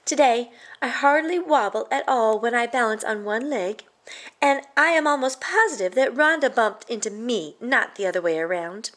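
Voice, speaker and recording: A woman with a fairly high voice reads a children's picture book aloud. Her delivery is expressive and animated, shaped for young listeners. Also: moderate pace. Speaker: storybook reader.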